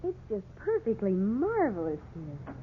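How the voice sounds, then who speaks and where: A woman's voice: mid-range voice, wildly swooping pitch, measured pace. An actress playing an eager, delighted young wife and mother in a 1950s radio drama, gushing with wide-eyed enthusiasm. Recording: tinny, hissy 1950s radio recording.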